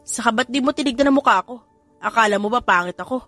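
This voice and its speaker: A woman's voice: a little high, fast, bright, forceful tone, no room sound, soft background music. Woman voicing an irritable, indignant young husband. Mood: offended, incredulous. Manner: indignant, blunt, mixing Tagalog and English. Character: irritable, exasperated young male hacker husband, defensive and offended.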